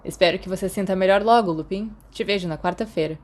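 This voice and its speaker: A man's voice: sits high, fast talker, lightly acted. Lady reading an upbeat older guy professor in a storybook, kind, teasing, caring. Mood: warm, amused. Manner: warm, teasing, concerned. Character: cheerful, kindly, energetic older male magic-school professor.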